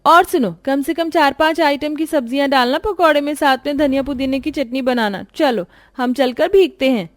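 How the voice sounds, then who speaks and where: A woman's voice: high-pitched, moderate pace, hardly any pauses, no echo, pitched above the performer's own voice, close to an everyday voice. A woman voicing five greedy, bossy young sisters-in-law who keep demanding food. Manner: bossy, whiny, loud.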